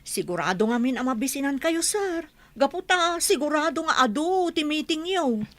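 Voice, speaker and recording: Woman playing a high-voiced, attentive household helper. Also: expressive, moderate pace, a bit bright. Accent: Ilocano. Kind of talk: radio drama acting. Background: music.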